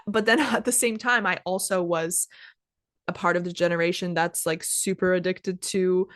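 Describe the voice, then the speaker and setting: A woman's voice: lowish voice, fairly even intonation, fairly bright tone, no room sound, clean studio sound. A woman in her twenties, an English teacher hosting a casual podcast chat, talking with lots of "like" and "kind of" and stopping to explain words. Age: 20s. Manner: chatty, many "like" and "kind of" fillers, pauses to define words.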